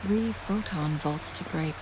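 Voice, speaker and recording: A woman voice-acting a composed, watchful female counselor in a video game, speaking in a measured, deliberate way. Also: fairly low pitch, background noise, close to an everyday voice. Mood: calm, watchful. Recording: music behind the voice. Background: game music.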